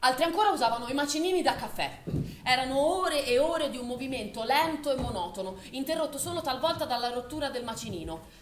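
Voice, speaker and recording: A woman's voice: high voice, expressive, quick pace. An actress playing a woman witness to wartime hardship, narrating in an urgent theatrical radio-play style. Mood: sober, narrating. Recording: roomy stage recording with faint noise.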